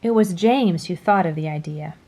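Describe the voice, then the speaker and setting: A woman reading a children's story aloud in a dramatic storytelling style, dropping to a hush at phrase endings. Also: on the low side, moderate pace. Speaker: storybook reader. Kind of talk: expressive children's read-aloud narration.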